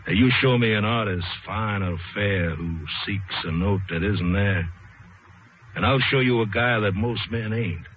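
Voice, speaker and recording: An actor narrating as a hard-boiled, romantic jazz-age balladeer, reciting rhyming slang verse rhythmically in an old radio drama. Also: male voice, on the low side, unhurried, slight background noise, lightly acted. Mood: dramatic, wistful. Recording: muffled vintage radio, loud music behind.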